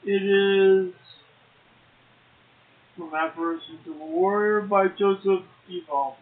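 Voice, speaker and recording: Male poetry host, high voice, halting delivery, echoey phone-line sound. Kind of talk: slow poetry reading and chat.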